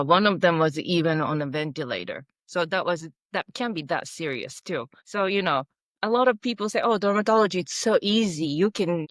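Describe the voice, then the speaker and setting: A woman's voice: deep voice, no room sound, clean studio sound. A Japanese-born woman, a veterinary dermatologist, talking in a casual interview, hesitant and searching for words with many fillers.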